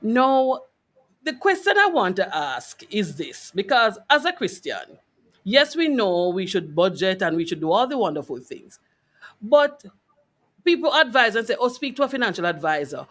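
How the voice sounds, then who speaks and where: Animated woman hosting a faith interview. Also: medium pitch, normal speed. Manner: encouraging, affirming, interjects. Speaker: radio show host.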